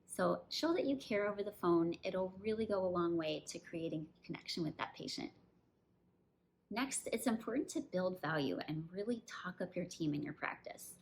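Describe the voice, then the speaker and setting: Female training presenter, slightly low voice, step-by-step instructional delivery, faint ambient noise behind. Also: animated, normal speed, rough recording. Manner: clear step-by-step explaining, with acted example phrases. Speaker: dentist and practice coach presenting training.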